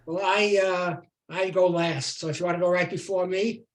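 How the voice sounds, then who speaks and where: A man hosting an online song circle, chatting casually and haltingly as he organizes people over a computer mic. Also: high-pitched, flat delivery. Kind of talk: casual chat on a group video call. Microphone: computer mic on a video call.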